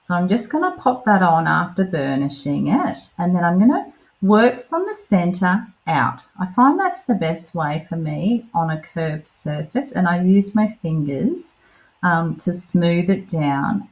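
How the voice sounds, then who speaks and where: A woman's voice: fairly low pitch, a little mellow, rough recording. A woman in her thirties or forties, a craft vlogger, chatting casually through a voiceover as she works. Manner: chatty, addresses viewers as "lovelies".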